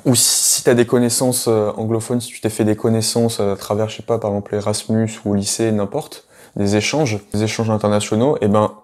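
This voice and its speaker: A man's voice: on the low side, steady tone, a little fast, a little mellow. A man giving a casual video lesson as an English teacher, talking straight to the viewer with many hesitations. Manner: chatty, addresses viewer directly, many hesitations.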